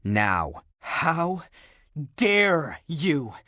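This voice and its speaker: Sassy, animated living garment guy. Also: on the high side, very slow, no echo, clean studio sound, exaggerated voice. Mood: hurt, angry. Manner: sardonic, teasing, expressive. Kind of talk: video game character dialogue.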